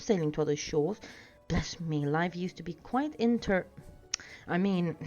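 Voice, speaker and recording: A woman voicing a fussy, polite and excitable male hobbit homebody in a storybook reading. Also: fairly low pitch, lightly acted. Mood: flustered. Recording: dull sound with music behind.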